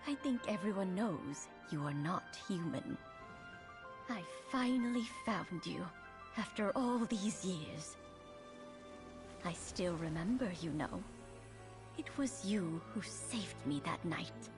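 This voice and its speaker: A kindly old lady who talks slowly and tenderly, full of gratitude. It is a video game performance with music clearly playing behind her. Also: lowish voice, animated. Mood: knowing, tender. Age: older. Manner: tender, unhurried.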